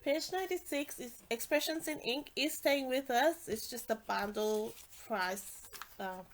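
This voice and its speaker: A woman's voice: high voice, measured pace. Chatty woman with a stuffy nasal voice. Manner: chatty, many fillers and restarts. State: congested and nasal. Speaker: stamping demonstrator. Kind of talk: casual product walkthrough.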